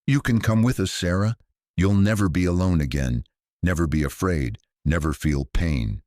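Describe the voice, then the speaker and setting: A man's voice: sits low, normal speed, a little mellow, no echo, clean studio sound, close to an everyday voice. A man voicing coaxing undead hungry patients. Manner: coaxing, sinister. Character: hungry undead asylum patients, whispering as one.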